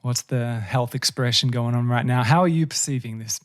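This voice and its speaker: A man hosting a podcast, asking earnest, thoughtful questions in a relaxed conversational way. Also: mid-range voice, normal speed. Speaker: podcast host. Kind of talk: casual interview chat.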